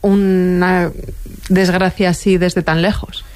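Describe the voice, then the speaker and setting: A woman's voice: fairly low pitch, level tone, takes it slowly, darkish tone. A woman with an accent from Spain, hosting and asking questions in a broadcast news interview. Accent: Spanish, from Spain. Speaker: radio host and interviewer.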